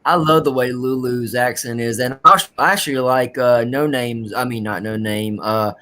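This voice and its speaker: A man in a relaxed group voice chat, talking hesitantly and trailing into fillers as he searches for words. His voice sits at an ordinary middle pitch. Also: normal speed.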